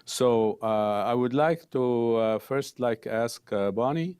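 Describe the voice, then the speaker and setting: A man hosting a studio interview program, speaking in halting, hesitant phrases. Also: mid-range voice, darkish tone, smooth, dry recording. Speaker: talk show host.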